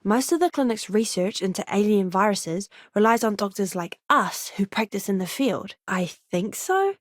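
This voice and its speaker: A woman's voice: medium pitch, lively intonation, moderate pace, no room sound, clean studio sound, lightly acted. A woman playing an earnest, friendly young space medic, a companion character in a video game. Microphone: close studio mic, dry.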